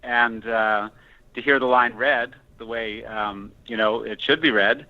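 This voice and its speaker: A man's voice: mid-range voice, fairly even intonation, a little slow, no room sound, dull audio. A man giving reflective, somewhat hesitant answers as a screenwriter in a casual interview.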